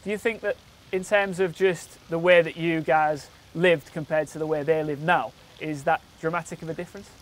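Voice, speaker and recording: A man's voice: fairly high pitch, steady tone, normal speed. A man presenting a local TV documentary, interviewing guests with upbeat, leading questions.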